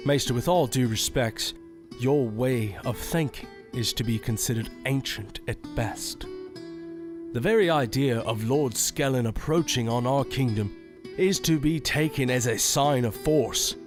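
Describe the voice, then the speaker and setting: An actor playing a young, wary sheriff in a fantasy audio drama, formal and grave. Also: male voice, medium pitch, measured pace, over music, close to an everyday voice.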